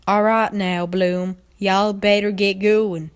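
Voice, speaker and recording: A woman's voice: medium pitch, level tone, takes it slowly, clear, resonant tone, natural voice. A woman voicing a grown country mare, a stern, resigned older sister in a cartoon fan story.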